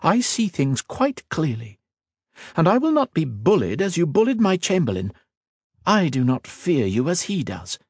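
A man voicing a proud, wary queen who speaks in a haughty, cutting, measured way. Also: on the high side, huge pitch swings, slightly rough, no room sound, clean studio sound, pitched above the performer's own voice, exaggerated voice. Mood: scornful.

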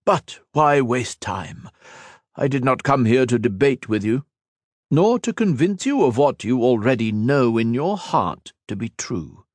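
A contemptuous immortal being from the stars speaks with slow, deliberate scorn, as a man puts him on for an audiobook. His pitch rises and falls widely as he lectures. The sound is dry and close. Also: very animated, a little mellow, no room sound. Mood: disdainful. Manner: haughty, lofty, lecturing. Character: ancient arrogant male cosmic being, cold and contemptuous.

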